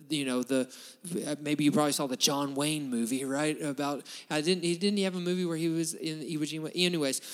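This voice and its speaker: A man preaching a sermon in an emphatic, building manner, often restarting his phrases. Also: on the high side. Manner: emphatic, repetitive restarts, rising bursts of intensity. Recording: long hall reverb.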